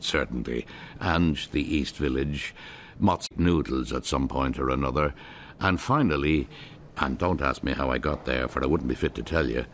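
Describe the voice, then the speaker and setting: A man's voice: extremely low pitch, a little hiss or hum. A man with an Irish accent narrating a story in the first person, with a wry, humorous delivery.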